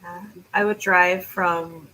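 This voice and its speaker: A woman's voice: on the low side, level tone, takes it slowly, pushed and bright, rough recording. A young adult woman with a North American accent, chatting casually as a guest on a live stream. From California, United States. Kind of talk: casual chat. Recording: clear background noise.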